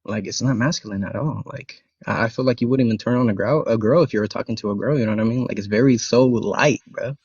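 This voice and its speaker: A man's voice: medium pitch, fast, hardly any pauses, darkish tone, husky, dry recording. A young man whose first language is Spanish, arguing bluntly in a casual live-stream debate, rambling with many restarts and fillers. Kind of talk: casual argumentative chat. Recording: dry, dull lo-fi call audio.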